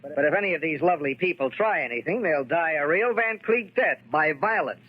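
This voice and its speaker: A man's voice: fairly high pitch, expressive, fairly quick, noisy background. A young man playing a newspaper photographer in a 1940s radio drama, talking in a breezy, wisecracking way. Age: young adult. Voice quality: wisecracking, breezy tough-guy talk. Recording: muffled 1940s radio recording.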